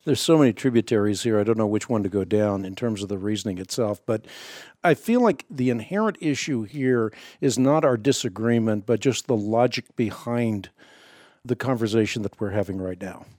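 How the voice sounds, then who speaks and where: A man's voice: on the low side, normal speed, darkish tone, no room sound, studio quality. Dutch-born man pressing a debate point. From Netherlands. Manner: probing, argumentative, presses for evidence. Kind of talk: call-in radio debate.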